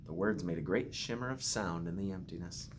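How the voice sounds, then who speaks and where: A man who is a school principal reads a picture book aloud to children with expressive, simple storytelling phrasing. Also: sits low, a little fast, noisy background. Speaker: school principal reading to pupils.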